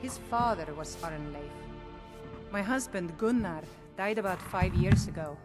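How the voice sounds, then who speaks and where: A woman's voice: fairly low pitch, a little slow, lightly acted. An actress voicing a fretful, plain-spoken widowed shopkeeper in a video game, with game music behind her. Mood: weary. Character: weary widowed shopkeeper woman, struggling.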